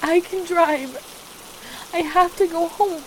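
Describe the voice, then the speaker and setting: Steady rain runs behind a high female voice. An actress plays a distraught woman trapped with a controlling partner in an intimate dramatic roleplay. She pleads haltingly, repeating herself as she speaks. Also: a little hiss or hum, low-quality recording. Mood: afraid, resigned.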